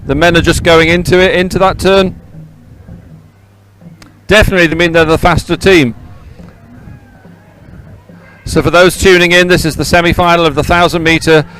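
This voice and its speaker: A man giving live, unscripted sports commentary outdoors, halting often to repeat and correct himself. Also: fairly high pitch, steady tone, fairly bright tone, slight background noise, rough recording. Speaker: race commentator. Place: outdoors beside a race course.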